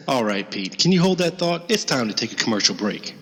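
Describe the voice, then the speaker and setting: A man playing a brisk, flippant doctor who hosts a call-in show in a comedy radio drama, with glib professional patter. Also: medium pitch, very animated, fairly bright tone, big-room sound. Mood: breezy, flippant.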